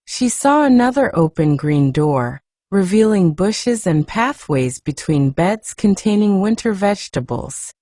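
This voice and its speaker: A woman softly reading a classic story aloud in a gentle, hushed narrating style. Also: medium pitch, sing-song, unhurried, few pauses, dry recording, studio quality. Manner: soft, hushed, gentle delivery. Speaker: audiobook reader.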